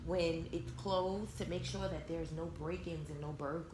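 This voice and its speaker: A woman reading a storybook aloud to young children, expressively, pausing to retell and explain it in simple words. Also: deep voice, steady tone. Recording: echoey room with some background noise.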